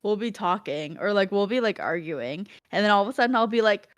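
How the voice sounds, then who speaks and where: A young woman from Connecticut chats and rambles through a story, laughing between lines. Her voice sits at an ordinary middle pitch. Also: lively intonation, a little fast. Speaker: streamer. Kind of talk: casual chat while gaming.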